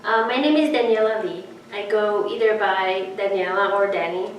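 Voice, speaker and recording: A woman in her thirties from Romania, introducing herself as a seminarian in an earnest, reflective way with frequent pauses. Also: medium pitch, distant mic, dull audio, slight background noise. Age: 30s. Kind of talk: personal introduction. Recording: echoey room, voice from off-mic.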